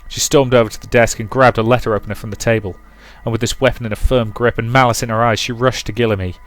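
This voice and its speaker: A man's voice: mid-range voice, fairly even intonation, fast. A man reading a visual novel aloud as dramatic storybook narration for a let's play.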